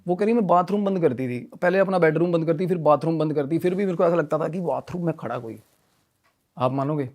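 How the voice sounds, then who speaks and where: Higher-pitched man telling a story conversationally. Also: fast, darkish tone. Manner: repetitive, mixes Hindi with English phrases. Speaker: podcast guest telling a ghost story.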